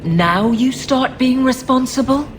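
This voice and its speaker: A woman's voice: high voice, animated, unhurried, a little hiss or hum. An actress playing a stern, dutiful lawwoman in a video game, firm and no-nonsense. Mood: exasperated, stern. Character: stern, dutiful, exasperated woman keeper of law. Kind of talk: video game drama acting.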